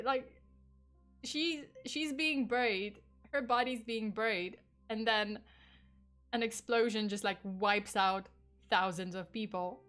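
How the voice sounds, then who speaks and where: A woman's voice: fairly high pitch, a bit bright. A young woman with a Polish accent, her first language Polish, chatting casually on a true crime stream with many fillers, laughs and swearing. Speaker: vtuber streamer.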